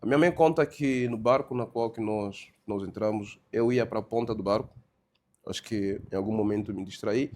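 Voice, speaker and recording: Male pastor from Congo and Angola as interview guest, fairly low voice, telling his own story haltingly. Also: darkish tone, slight background noise. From born in Congo, grew up in Angola. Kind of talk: personal testimony in an interview.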